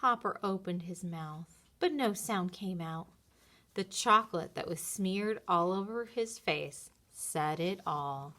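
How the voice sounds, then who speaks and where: A woman narrating a children's story in an expressive read-aloud style. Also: lowish voice, slow pace.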